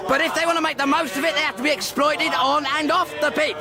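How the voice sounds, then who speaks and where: An actor playing a brash, boozy working-class painter, blustering and blunt in slangy radio-drama style. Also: male voice, extremely high pitch, steady tone, fast, vocal fry. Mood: rowdy, excited. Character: brash, boozy working-class celebrity painter, abrasive self-promoting man.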